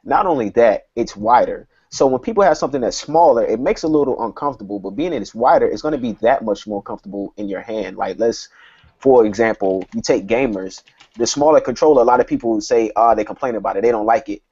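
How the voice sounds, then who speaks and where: A man with a dark, husky voice discusses tech casually as a podcast panelist. He explains things emphatically, working through examples. The recording is dull and lo-fi. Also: medium pitch, fast.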